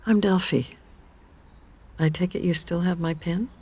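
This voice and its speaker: Woman as a very husky, kindly old widow. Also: lowish voice, fairly even intonation, a little slow. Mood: warm, gracious. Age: older. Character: kindly, cryptic elderly widow, guardian secretary of Death. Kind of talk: audio drama acting.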